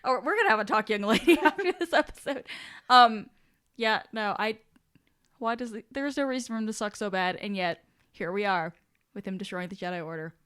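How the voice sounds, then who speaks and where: Woman, podcast co-host, smooth clear voice, casual chat, irreverent, giggly. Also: mid-range voice, lively intonation, a little fast, a bit bright. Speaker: podcast co-host reading listener questions.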